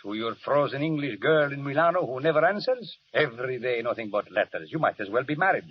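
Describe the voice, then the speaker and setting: An actor playing a jaunty, bullying, worldly Italian army captain with an Italian accent in an old-time radio drama, theatrical and teasing. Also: male voice, mid-range voice, animated, fast talker, lightly acted. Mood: teasing, coaxing. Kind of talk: 1930s-40s radio drama acting. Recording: dull, hissy vintage radio broadcast.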